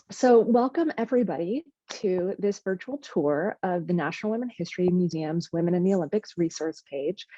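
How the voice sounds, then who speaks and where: A woman's voice: fairly low pitch, mellow, dry recording. A woman historian leading a guided virtual tour in a presentation, speaking fluently with occasional um and uh. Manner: fluent, uses um and uh fillers. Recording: dull, lo-fi video call audio.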